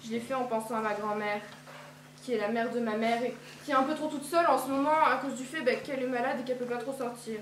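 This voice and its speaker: A woman's voice: on the high side, steady tone, fast talker, a little hiss or hum, close to an everyday voice. Teen girl playing a chatty trusting little girl. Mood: innocent. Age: teenager. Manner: eager, rambling, repeats herself. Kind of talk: school stage play. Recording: echoey hall from far off.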